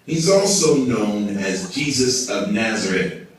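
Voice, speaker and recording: A man's voice: on the low side, sing-song, takes it slowly. Man playing a formal council-member puppet, very echoey. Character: evasive, self-important male religious council member puppet. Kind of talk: scripted puppet show dialogue. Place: indoor hall, behind a puppet stage. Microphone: far camera in the audience. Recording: echoey room, distant audience camera.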